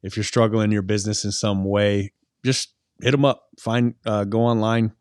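A man's voice: fairly low pitch, little pitch movement, moderate pace, darkish tone, no echo, clean studio sound. A man co-hosting a podcast, talking loosely with many fillers and restarts, laughing easily. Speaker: podcast co-host. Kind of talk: casual podcast chat.

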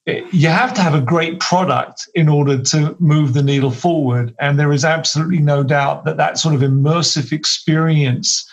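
British male guest, 50s, wry and dry-humored, slightly higher voice, dull lo-fi video call audio. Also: steady tone, normal speed, few pauses. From United Kingdom. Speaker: guest, business consultant. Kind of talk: casual interview chat.

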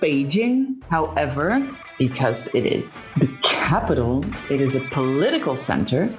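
A woman from Belgium with a Dutch accent, a journalist giving animated, emphatic answers as an interview guest over dull, lo-fi remote audio. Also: very deep voice, over music. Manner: animated, emphatic storytelling with drawn-out phrases.

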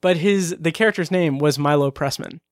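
A man's voice: fairly high pitch, brisk, no room sound. A man hosting a podcast in casual chat, talking loosely with fillers and laughs, recorded close on a dry mic. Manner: chatty, laughing, full of fillers. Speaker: podcast host.

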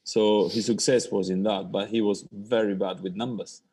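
A man from Argentina, a chef, telling stories in a relaxed podcast interview, with slightly non-native phrasing. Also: on the low side, normal speed, slightly rough. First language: Spanish. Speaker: chef, guest. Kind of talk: casual interview.

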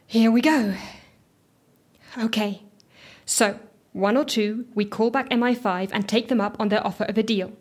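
Sceptical female colleague, played by an actress, audio drama. Slightly high voice, earnest, anxious, challenging. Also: moderate pace, long pauses, a little hiss or hum, natural voice. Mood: businesslike. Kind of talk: audio fiction acting.

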